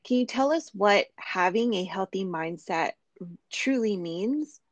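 A woman's voice: mid-range voice, animated, a little slow, no room sound. A young adult woman hosting a podcast, upbeat as she opens the show and interviews a guest. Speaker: podcast host.

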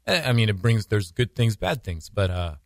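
A man from the United States, a missionary guest on a radio interview, speaking thoughtfully and haltingly, with frequent restarts. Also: lowish voice, big pitch swings, gravelly, no room sound, clean studio sound. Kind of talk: casual interview talk.

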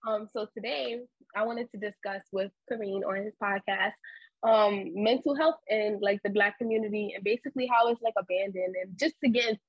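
A woman's voice: mid-range voice, steady tone, moderate pace, husky, no room sound, highs cut off. A woman, a guest on a podcast, chatting in a laid-back, rambling way full of fillers. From Harlem, New York. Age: 20s. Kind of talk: casual interview chat.

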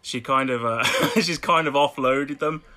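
A middle-aged man with a Birmingham accent chats on a podcast. His voice is bright and ringing at a middle pitch, and he laughs easily, often repeating himself as the stories tumble out. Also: measured pace. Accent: Birmingham English. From Birmingham, England. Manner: chatty, laughs often, repeats words when excited. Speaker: guest artist. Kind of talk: casual podcast chat.

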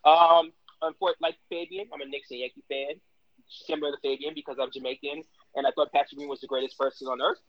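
A man from Brooklyn co-hosting a podcast in casual chat, animated, exclaiming and laughing often. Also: on the high side, fast, no echo. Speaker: podcast co-host. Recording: dull dry lo-fi sound.

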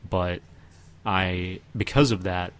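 A man's voice: sits low, steady tone, slow. A man talking casually as a podcast co-host, thinking aloud with some hesitation. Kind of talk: casual film discussion.

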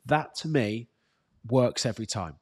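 A man's voice: medium pitch, unhurried, a little mellow. A British man with a slightly congested voice, working as a fitness coach, answering questions casually and giving direct, encouraging advice with emphatic repetition. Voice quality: slightly congested, bunged-up nose. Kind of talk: casual live Q&A, reading out questions and advising.

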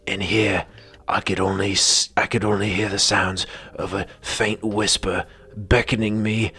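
A man's voice: fairly low pitch, level tone, measured pace, pushed and bright, slightly rough, voice pushed down, heavily acted. A man playing a bumbling hard-boiled detective who narrates his own case in a rambling, mock-dramatic way, in a parody radio drama. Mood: hushed, solemn.

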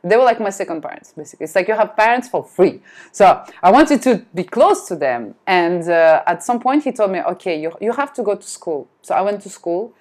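A woman whose first language is Russian, chatting as a podcast guest in a lively, self-deprecating way. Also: medium pitch, brisk. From France. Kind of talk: casual chat.

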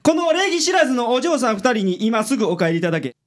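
A man playing a gruff, self-important detective with a Kansai accent in a radio drama. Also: very high-pitched, sing-song, fast. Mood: grumpy. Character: gruff, self-important middle-aged male private detective.

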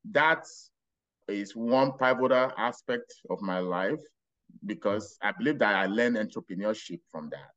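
A middle-aged man from Nigeria with a Nigerian accent, telling his life story as a podcast guest in a relaxed, rambling way. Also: fairly low pitch, dull audio. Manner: unhurried, rambling with restarts and laughs. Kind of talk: casual interview storytelling.

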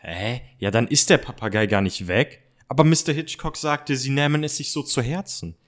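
A man's voice: medium pitch, moderate pace, natural voice. A man playing a reluctant, anxious teenage boy detective in an amateur audio drama, with a hesitant manner. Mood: puzzled.

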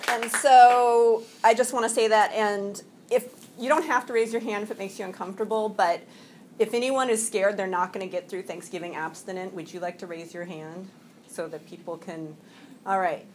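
A woman around forty talks to a support group in a meeting room, a little sniffly from a cold. Her speech is halting and full of fillers and restarts, broken up by frequent laughs. Faint room noise sits under her voice. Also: mid-range voice, steady tone, darkish tone. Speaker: recovery meeting speaker. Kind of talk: personal share at a support meeting.

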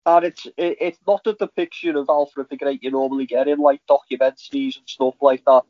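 A man talks casually as a podcast co-host, in a halting, rambling way. The voice is mid-pitched and very dry, on slightly dull audio. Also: fairly even intonation, dry recording. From Liverpool, England. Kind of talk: casual chat reviewing TV shows.

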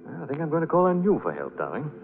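An actor playing a suave, confident gentleman sleuth in a 1940s radio drama. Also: on the high side, wildly swooping pitch, rapid-fire, vocal fry, full character voice. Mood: coaxing, brisk. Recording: narrow-band old radio, faint noise.

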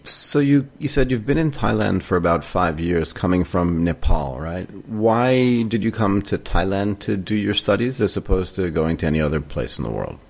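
Low-voiced upbeat male interviewer. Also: expressive, moderate pace, gravelly, few pauses. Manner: upbeat, inquisitive. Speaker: podcast co-host. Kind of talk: interview questions.

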